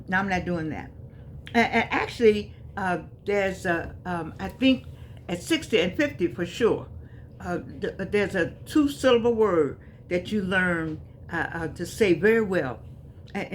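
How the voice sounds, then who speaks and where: A woman's voice: fairly low pitch, slight background noise. A woman in her eighties giving a casual motivational talk to her viewers, folksy and emphatic, often repeating herself. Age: 80s. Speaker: vlogger.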